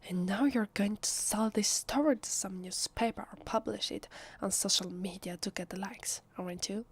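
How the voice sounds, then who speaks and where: A woman with an Italian accent playing a nervous, fragile, defensive female vampire in an ASMR roleplay, speaking softly and haltingly in a breathy, often whispery voice. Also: mid-range voice, a little hiss or hum, lightly acted. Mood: hurt, wary. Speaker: voice actress. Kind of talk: ASMR roleplay, soft acted monologue.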